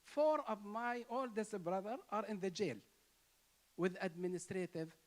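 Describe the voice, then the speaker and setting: A man's voice: sits high, a little slow. Impassioned man with a Palestinian Arabic accent. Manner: emphatic, repetitive, rising to stress key words. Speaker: speaker at an interfaith gathering. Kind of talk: impassioned speech.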